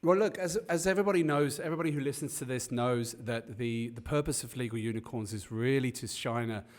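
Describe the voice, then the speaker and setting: A man hosting an interview over a video call, chatting in a friendly, rambling way with many restarts. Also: medium pitch. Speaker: podcast host. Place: Cape Town.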